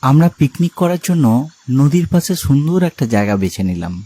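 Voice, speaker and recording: A man narrating a cartoon ghost story in a calm, measured storytelling voice. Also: a little high, breathy edge.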